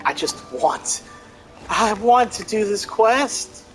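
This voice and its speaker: Animated, high-voiced man doing game commentary. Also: slow. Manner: wry, mock-exasperated. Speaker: gaming let's player. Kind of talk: casual playthrough commentary. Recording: faint game music behind.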